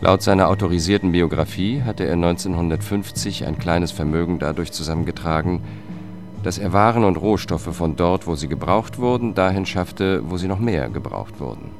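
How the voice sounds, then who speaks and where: An actor playing a sober, determined justice investigator who narrates a radio drama in a measured, matter-of-fact way. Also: male voice, sits low, over music. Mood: sober narrating. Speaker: first-person narrator.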